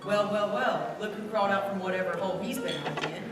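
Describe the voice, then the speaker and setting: A man playing a gruff, hostile bartender in a stage radio play, loudly and brusquely barking orders. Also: high-pitched, quick pace, close to an everyday voice. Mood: angry, contemptuous. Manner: brusque, loud. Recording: echoey hall, distant mic, music behind.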